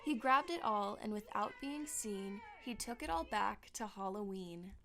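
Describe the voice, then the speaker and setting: A woman narrating a storybook in rhyming verse with expressive, theatrical storytelling. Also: medium pitch, measured pace.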